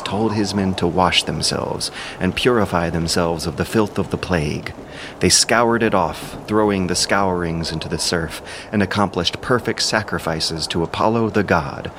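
A man with a creaky voice giving scripted, dramatic narration of epic poetry. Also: low voice, background noise. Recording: music behind the voice.

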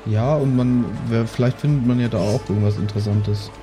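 A man's voice: medium pitch, fairly even intonation, brisk, music in the background. A man playing a tabletop role-playing game, chatting casually with the group.

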